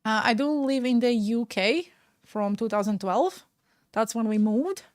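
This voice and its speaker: A woman's voice: on the high side, animated, a little slow, dry recording, studio quality. A young woman in her twenties from Latvia, speaking with a Latvian accent, chatting casually and frankly with her live-stream viewers, often trailing off mid-word.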